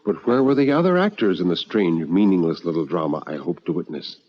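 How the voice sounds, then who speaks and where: A man's voice: low-pitched, lively intonation. Dramatic male narrator on dull old radio. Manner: theatrical, measured, intense.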